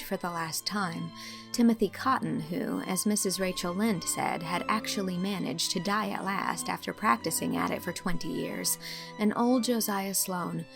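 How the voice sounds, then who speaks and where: A woman reading literary fiction as an audiobook narrator, in an expressive scripted storytelling style. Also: lowish voice, vocal fry.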